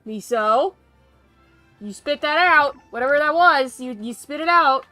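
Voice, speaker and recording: A woman's voice: sits high, animated, measured pace, pushed and bright, dry recording. A woman livestreaming a game, chatting in a casual, rambling way with playful teasing and some swearing. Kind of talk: casual gaming stream chat. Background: music in the background.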